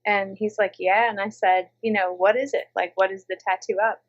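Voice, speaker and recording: A woman who grew up in Minnesota talks casually about her narration work, her voice animated and often dropping into the voices of people she quotes. The sound is a little dull and lo-fi, as from a remote call. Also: medium pitch, a little fast. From Minnesota, USA. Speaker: audiobook narrator. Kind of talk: casual interview chat.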